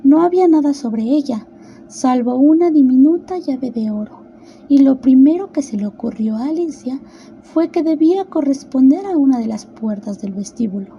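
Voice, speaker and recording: A woman's voice: high-pitched, expressive, normal speed, warm tone, lo-fi sound, a little hiss or hum. A woman narrating a scripted children's storybook tale as a radio drama narrator.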